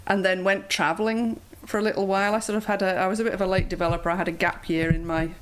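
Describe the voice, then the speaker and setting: A woman's voice: medium pitch, level tone, rapid-fire, a little hiss or hum, rough recording. Woman with a mild Yorkshire accent. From Yorkshire, England. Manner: chatty, lots of ums and uhs. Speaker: guest, yoga teacher. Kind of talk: casual interview chat.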